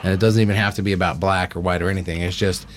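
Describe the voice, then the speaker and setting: A man's voice: low voice, steady tone, rapid-fire, slight background noise. A man hosting a casual talk show, rambling bluntly and swearing heavily as he goes. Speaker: podcast host. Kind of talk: casual profane chat.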